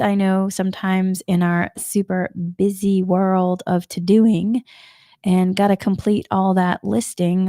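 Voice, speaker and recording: A woman from Massachusetts with a slight Boston accent, giving a gentle, rambling spiritual talk on a live stream, often repeating herself. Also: medium pitch, no echo, clean studio sound. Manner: repetitive, gentle, rambling with many restarts. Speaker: spiritual energy forecaster. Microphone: live stream microphone.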